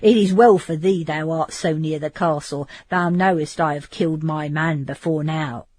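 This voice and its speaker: A rough, surly soldier with a loud, blunt voice, as a woman reader puts him on. The sound is close and dry, with no room around it. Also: deep voice, steady tone, normal speed, no echo. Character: surly gruff male soldier.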